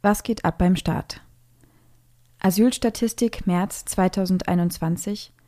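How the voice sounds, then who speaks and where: A woman's voice: lowish voice, mellow. A woman presenting scripted news, reading it aloud.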